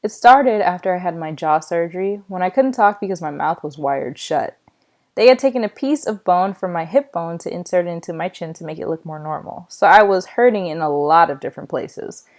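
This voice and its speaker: A woman reading a children's novel aloud in a storytelling style, with expressive delivery. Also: lowish voice, brisk. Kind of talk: read-aloud storytelling with acted dialogue.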